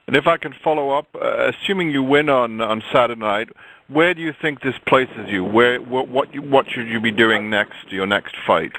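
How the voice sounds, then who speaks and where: A man's voice: mid-range voice, moderate pace, sharp tone, low-quality recording. A man working as a sports reporter, politely asking questions on a press call and rephrasing them midway. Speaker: wire-service sports reporter. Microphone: telephone.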